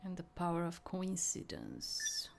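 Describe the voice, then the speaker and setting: A woman's voice: fairly low pitch, huge pitch swings, unhurried, gravelly, slight background noise. A woman giving casual, rambling commentary as she plays a game, hesitant and often trailing off. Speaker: let's play host. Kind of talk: casual gameplay commentary with read-aloud dialogue.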